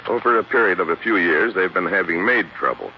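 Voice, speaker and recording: An actor playing an earnest investigator with an American accent and a grave, emphatic delivery in a 1940s radio drama. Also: male voice, low-pitched, a little fast. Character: earnest male investigator laying out suspicious case. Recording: dull, hissy 1940s radio recording.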